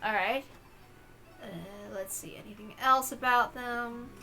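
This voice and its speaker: A woman hosting an expressive read-aloud of a visual novel, with casual asides of her own. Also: a little high, measured pace, rough recording. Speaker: reader and host.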